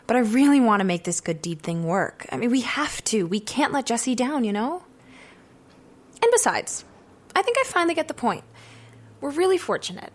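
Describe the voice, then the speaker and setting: A woman's voice: on the high side, sing-song, normal speed. A young woman playing an earnest, self-doubting drama student in an audio drama.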